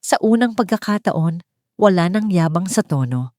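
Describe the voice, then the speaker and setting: A Filipino woman narrates a dramatic story from a script. Her voice sits on the low side and is very mellow and dark in tone. The sound is dry and close, with no room around it. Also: lively intonation, normal speed, dry recording.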